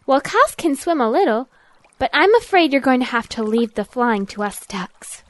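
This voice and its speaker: A woman's voice: sits high, sing-song, moderate pace, no echo. A woman voicing a friendly, sensible young female duck in an audio drama, patiently explaining. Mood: gently advising.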